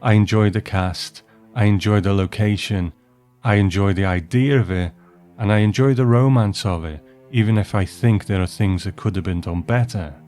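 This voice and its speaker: A man's voice: on the low side, moderate pace, darkish tone. A man hosting and narrating from a script, reviewing and reading a story aloud. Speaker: host and narrator. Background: music.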